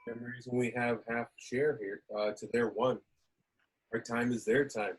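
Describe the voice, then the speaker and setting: Man reading a resigned ship tech, husky voice, medium pitch, table read. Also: fairly even intonation, measured pace, rough recording. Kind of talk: table read of a film script.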